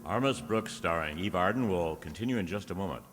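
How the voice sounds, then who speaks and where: A man plays a formal old-time radio announcer. His voice is very low, with hall reverb and faint music behind. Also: lively intonation, brisk, a bit bright.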